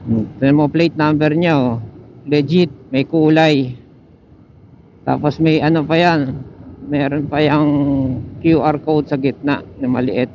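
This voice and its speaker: Filipino man, slightly high-pitched, casual motovlog commentary, thinking aloud, helmet intercom, faint vehicle noise. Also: steady tone, unhurried, long pauses, highs cut off. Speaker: motorcycle vlogger. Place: outdoors on a motorcycle in traffic. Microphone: helmet intercom microphone. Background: faint vehicle and road noise.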